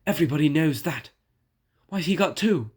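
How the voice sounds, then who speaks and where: A man's voice: fairly high pitch, expressive, moderate pace, pitched above the performer's own voice. A man plays a jealous locomotive from a storybook. He is boastful and self-important. His complaints come out indignant and put-upon. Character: Henry, jealous boastful complaining male steam engine.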